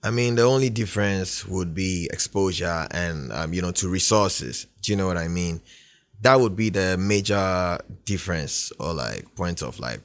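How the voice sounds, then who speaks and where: A man's voice: deep voice, animated, normal speed, no echo. A young man from Ghana, a musician guest, talking in a laid-back, rambling way and often asking "do you know what I mean". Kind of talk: casual interview chat.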